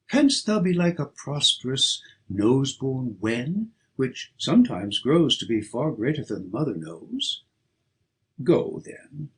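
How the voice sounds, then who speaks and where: A man with a markedly rough, breathy-husky voice recites poetry for an audiobook. His delivery is slow and declamatory, swinging widely in pitch around a middling register. He reads with theatrical emphasis. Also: low-quality recording. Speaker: audiobook reader.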